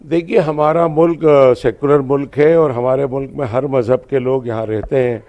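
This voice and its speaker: A man's voice: medium pitch, steady tone, moderate pace, narrow, tinny audio. A man giving an explanatory interview statement as a spokesman, often repeating his phrases. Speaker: religious organisation spokesman.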